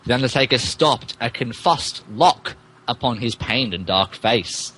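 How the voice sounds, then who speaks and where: A man reads a story aloud with amused, theatrical mock drama. His voice is medium in pitch and husky, with lively intonation. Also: measured pace, low-quality recording. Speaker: podcast co-host and narrator.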